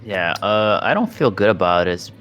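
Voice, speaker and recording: A man's voice: lowish voice, lively intonation, normal speed, fairly bright tone. A man talking casually as a player in a tabletop game. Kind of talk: casual chat during a game.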